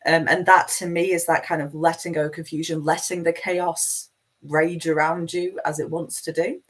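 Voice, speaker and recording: A woman with a broad Manchester accent and a low, deep-set voice hosts a live spiritual talk. She meanders through her points in a chatty, self-deprecating way. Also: flat delivery, normal speed. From Manchester, England. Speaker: spiritual teacher hosting a live session.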